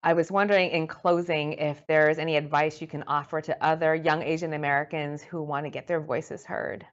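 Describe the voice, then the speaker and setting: A woman's voice: low-pitched, steady tone, fairly quick. A woman interviewer asking fluent, prepared questions, heard on a dull lo-fi recording.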